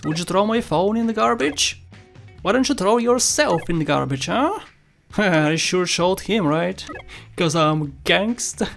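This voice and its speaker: A man's voice: high-pitched, gravelly. A young man speaking English with a Bulgarian accent, non-native and loose, joking his way through a game walkthrough. His talk rambles and he chuckles at his own gags. Faint game music plays underneath. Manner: jokey, rambling, laughs at his own jokes. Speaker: gameplay commentator. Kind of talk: playful walkthrough commentary.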